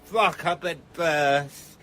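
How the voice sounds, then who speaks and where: A woman's voice: sits low, very slow, a bit bright, slight background noise, exaggerated voice. A woman playing a ranting, confrontational street doomsayer in an audio drama, declaiming in rambling speeches. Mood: agitated, accusing. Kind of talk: theatrical audio drama monologue.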